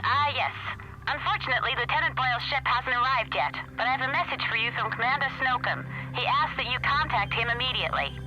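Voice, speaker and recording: An actress playing a polite space station operator over a radio filter in a video game. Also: female voice, high voice, quick pace, pushed and bright, soft background music. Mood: polite, official. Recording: dull band-limited radio comms.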